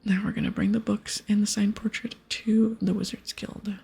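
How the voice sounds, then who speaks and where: A woman hosting an ASMR game playthrough, soft-spoken and breathy, often whispering as she narrates and reads text aloud. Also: medium pitch, flat delivery, moderate pace, faint music behind. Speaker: ASMR gaming host.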